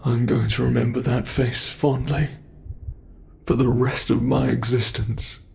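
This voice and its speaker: A British man voicing a cruel male monster who keeps a human captive, taunting in a slow, mocking way for an ASMR horror roleplay. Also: medium pitch, little pitch movement, gravelly, a little mellow. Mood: gloating menace.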